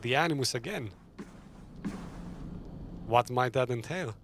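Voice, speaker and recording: A man giving live playthrough commentary as a streamer, musing in measured phrases. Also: mid-range voice, slight background noise. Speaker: gaming streamer. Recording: loud music behind the voice.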